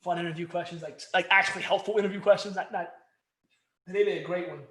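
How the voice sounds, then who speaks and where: A man's voice: sits high, steady tone, fast talker, bright, forceful tone. A man co-hosting a podcast, chatting casually over beers in a rambling, jokey way that keeps interrupting itself. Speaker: podcast co-host. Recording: roomy echo.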